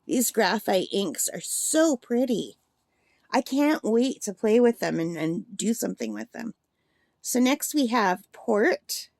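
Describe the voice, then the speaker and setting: A woman with a slightly gravelly voice chatting and gushing as she swatches paints in a casual demo at her craft table. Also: on the high side, very animated, slow pace, darkish tone, dry recording, studio quality. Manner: chatty and gushing, thinks aloud. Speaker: art supply reviewer.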